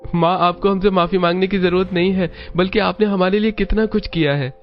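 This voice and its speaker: A man voicing a gentle, dutiful young Indian son, earnest and respectful, in a cartoon family drama. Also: high voice, steady tone, a little fast, muffled recording, soft background music, lightly acted.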